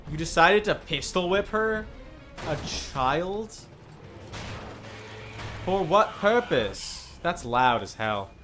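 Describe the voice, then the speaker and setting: A man's voice: fairly high pitch, big pitch swings, slow pace, long pauses. A man giving casual live commentary as he streams a video game, rambling and easily sidetracked. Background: game music.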